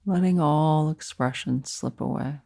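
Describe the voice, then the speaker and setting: A woman leading a guided meditation as a teacher, speaking softly and soothingly in short, unhurried phrases with pauses between them. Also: deep voice, creaky, no echo.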